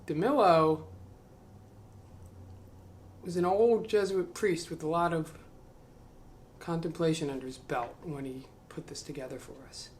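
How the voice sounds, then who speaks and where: Musing male host reading to camera: slightly high voice, slow self-questioning asides, faint hiss behind. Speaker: channel host reading a book aloud. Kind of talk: reading aloud with reflective commentary.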